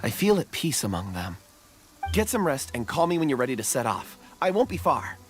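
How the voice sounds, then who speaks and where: A man playing a gentle, polite and dreamy young character in a video game, earnest in manner. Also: mid-range voice, big pitch swings, a little fast, soft background music, close to an everyday voice. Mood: serene.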